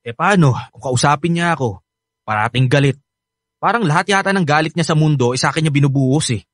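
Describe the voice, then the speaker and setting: Radio drama role: defiant Filipino teen son, whiny, swinging pitch, close dry sound over music. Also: male voice, expressive, fast, dry recording. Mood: bitter, hurt. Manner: whiny, argumentative, defensive. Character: rebellious, sulky sixteen-year-old boy, hurt by his father. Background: background music.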